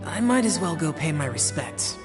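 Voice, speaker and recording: A man's voice: on the high side, big pitch swings, normal speed, music in the background. An actor playing an aloof, reserved young male guardian warrior in a video game, speaking tersely. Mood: reserved, dry. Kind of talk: scripted video game dialogue.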